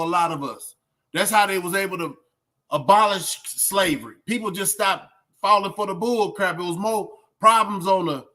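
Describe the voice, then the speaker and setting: A man with a high, bright, forceful voice and a Southern US accent. He talks like a streamer reacting to a clip, casual and colloquial. He pushes his points with emphasis and rhetorical questions. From southern United States. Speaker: YouTube reaction host.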